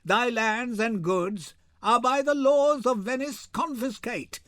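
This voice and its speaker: A man voicing a stern young woman disguised as a learned judge in a dramatic Shakespeare reading, measured and declamatory. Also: very high-pitched, pushed and bright, no room sound, studio quality, full character voice. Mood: stern, deliberate.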